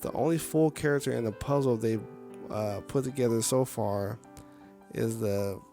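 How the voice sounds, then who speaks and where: A man's voice: mid-range voice, moderate pace, warm tone. A man streaming a game, reading the story aloud and dropping in casual reactions. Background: music.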